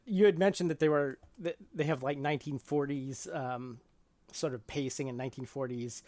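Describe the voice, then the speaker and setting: A middle-aged man hosting a podcast in a casual, halting way, thinking aloud as he talks. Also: medium pitch, steady tone, a little mellow, dry recording. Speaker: podcast host.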